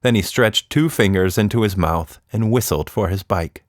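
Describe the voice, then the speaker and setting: A man narrating a scripted children's story as a storyteller, in an expressive, deliberate manner. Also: lowish voice, no room sound, clean studio sound.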